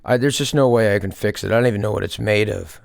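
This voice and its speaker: A man's voice: lowish voice, fast talker, gravelly, no echo, studio quality. A man giving a casual, unscripted show-and-tell as a hobbyist presenter, hesitantly thinking aloud as he goes. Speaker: hobbyist restorer presenting a project.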